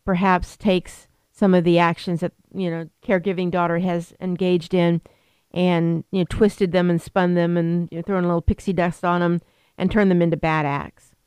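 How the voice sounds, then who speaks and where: A woman's voice: lowish voice, level tone, moderate pace, a little mellow, clear, resonant tone, no echo. A woman attorney explaining elder law on a radio talk show, rambling with many fillers and restarts. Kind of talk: radio interview.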